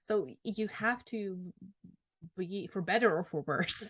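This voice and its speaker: A woman's voice: medium pitch, slow pace, husky, no echo. A woman hosting a podcast interview, affirming her guest often and chatting casually. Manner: warm affirming, frequent "mm-hmm" and "I love that". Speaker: podcast host.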